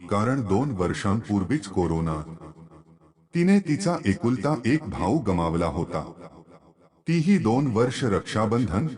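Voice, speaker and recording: A man's voice: low-pitched, darkish tone. A man reads a sentimental moral story as scripted narration.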